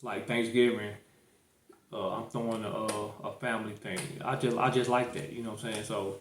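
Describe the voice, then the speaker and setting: A man's voice: fairly low pitch, level tone, normal speed, echoey room, background noise. A man in his thirties, a podcast co-host in casual chat, talking in a laid-back, rambling way with lots of fillers. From St. Louis, Missouri. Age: 30s.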